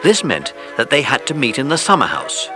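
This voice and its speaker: A man's voice: medium pitch, wildly swooping pitch, fairly quick, over music. A man reading scripted narration for a children's audiobook.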